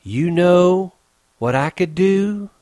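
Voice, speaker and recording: A man's voice: fairly high pitch, big pitch swings, a little slow, fairly bright tone, no echo, clean studio sound, voice pushed up. A man putting on a scornful young ranch wife. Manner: taunting, cold.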